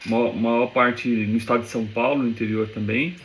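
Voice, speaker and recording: A man from Goiânia, a business owner answering interview questions on a video call in a polite, measured way. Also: medium pitch, steady tone, slight background noise. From Goiânia, Brazil. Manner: polite, measured, repeats words.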